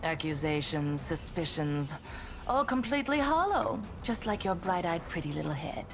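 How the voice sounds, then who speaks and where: An actress playing a haughty, seductive demon lord in a video game, scheming and mocking, with a theatrical drawl. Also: female voice, on the low side, very animated, moderate pace. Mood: scornful.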